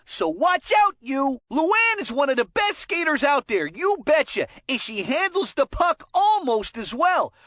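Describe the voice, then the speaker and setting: A woman's voice: high-pitched, sharp tone, dry recording, studio quality, exaggerated voice. A woman voicing a bubbly, goofy young hockey commentator in a kids' video game, chatty and folksy with plenty of catchphrases. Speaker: sports commentator. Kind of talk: animated scripted video game sports commentary.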